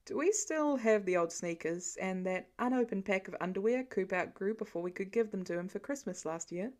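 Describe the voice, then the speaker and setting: A woman voicing a gentle, weary middle-aged father in an audiobook story, low-key and plain-spoken with a dry manner. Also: animated, brisk, lightly acted. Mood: dry, wary.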